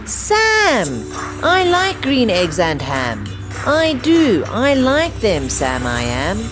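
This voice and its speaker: A woman reading a rhyming picture book aloud to young children in an expressive, sing-song, emphatic way. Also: on the high side, slow, over music.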